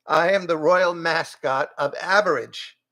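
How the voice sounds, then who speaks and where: A man's voice: fairly high pitch, measured pace, fairly bright tone, voice pushed up. A man voicing a fussy, fretful male goat who mutters and apologizes in a prim way.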